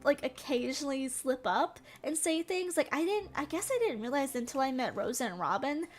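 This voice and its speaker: A woman's voice: high voice, expressive, a little fast, soft background music. A young woman from the American South with a Southern accent, chatting playfully and giggling with her viewers while she plays a game. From Southern United States. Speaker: streamer. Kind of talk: casual chat while gaming.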